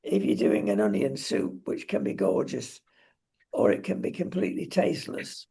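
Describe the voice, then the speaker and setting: A man with a husky, gravelly voice co-hosting a talk show, giving opinions and advice in emphatic, deliberate phrases. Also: mid-range voice, big pitch swings, mellow. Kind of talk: opinionated casual discussion.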